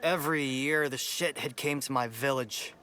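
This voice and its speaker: An actor playing a brash, flirtatious outlaw who fights for the poor, in video game cutscene style. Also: male voice, medium pitch, moderate pace, sharp tone, slight background noise, close to an everyday voice. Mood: bitter, indignant. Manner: brash, teasing, foul-mouthed. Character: cocky roguish male outlaw, flirtatious, righteous toward the poor. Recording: music behind the voice. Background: music.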